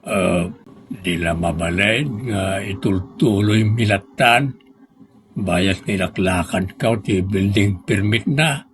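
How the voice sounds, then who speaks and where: An actor playing a weary, aggrieved older village man, speaking slowly and haltingly in radio drama style. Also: sits low, slight background noise. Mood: hesitant, pleading.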